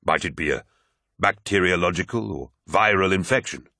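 A man's voice: low-pitched, normal speed, gravelly, pushed and bright, no room sound, clean studio sound, exaggerated voice. A man voicing a sober, matter-of-fact warrior captain asking questions in an audiobook. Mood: questioning. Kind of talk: dramatised audiobook dialogue.

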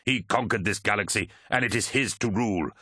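A man voicing a contemptuous, fanatical military commander in a science fiction audiobook, ranting forcefully and scornfully. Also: on the low side, brisk, breathy edge, dry recording, studio quality. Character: contemptuous male war-machine commander, fanatical traitor.

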